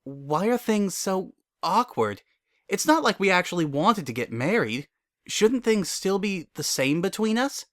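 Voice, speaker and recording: Guy doing nervous bookworm pony girl, higher pitched, earnest n fretful, storybook style. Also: very animated, unhurried, a bit bright, dry recording, studio quality, exaggerated voice. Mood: puzzled. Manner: earnest, fretful, careful diction. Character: young female pony scholar, earnest, bookish, anxious worrier. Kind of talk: dramatic fan fiction reading.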